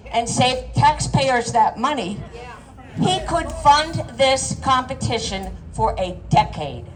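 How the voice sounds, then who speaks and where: An older woman, a retired teacher, giving an indignant public comment at a town hall, heard from a distant mic. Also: on the high side. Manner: indignant, deliberate. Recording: distant mic, faint noise.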